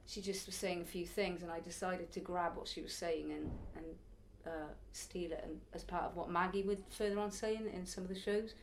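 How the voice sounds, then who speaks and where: A woman's voice: fairly low pitch, steady tone, fairly quick, hardly any pauses, a little hiss or hum, low-quality recording. A woman in her early forties, a circus performer and youth circus teacher, answering interview questions in a chatty, reflective way. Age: early 40s. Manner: chatty, reflective, laughs now and then.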